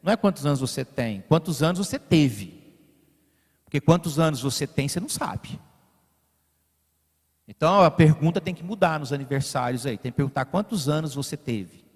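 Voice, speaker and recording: High-voiced Brazilian pastor preaching in a church. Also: male voice, expressive, moderate pace, pauses often, breathy edge, big-room sound. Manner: rising to emphatic peaks, then dropping to quiet asides; directs the slide operator mid-sermon. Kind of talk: sermon. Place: church sanctuary.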